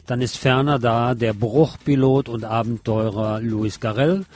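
A man's voice: medium pitch, measured pace, a little mellow. A man giving a personal, opinionated film review in a conversational radio commentary style.

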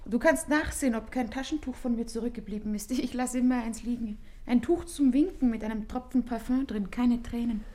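A female actor's fairly high voice plays a wistful young lover in a radio drama. She sounds fragile and restrained, with a questioning way of speaking. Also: a little fast, few pauses, warm tone, natural voice. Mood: resigned, wistful. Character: wistful young woman parting from her lover. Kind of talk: literary radio play acting.

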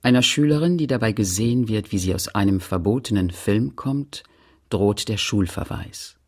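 A man with a husky, gravelly voice narrating a literary radio play in a measured, read-aloud manner. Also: on the low side, animated, darkish tone.

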